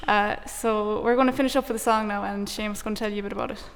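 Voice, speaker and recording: A woman's voice: medium pitch, fairly even intonation, rapid-fire, long reverb tail, a little hiss or hum. A young Irish-speaking woman from Connemara with a Connemara Irish accent, thanking the organizers from a stage in a friendly, teasing way. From Conamara, Ireland. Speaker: traditional musician.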